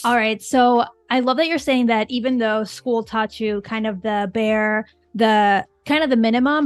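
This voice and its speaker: A woman's voice: a little high, dry recording. A woman hosting a live stream, welcoming viewers and reading out chat names with an enthusiastic manner. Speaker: livestream host. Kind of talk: upbeat livestream hosting.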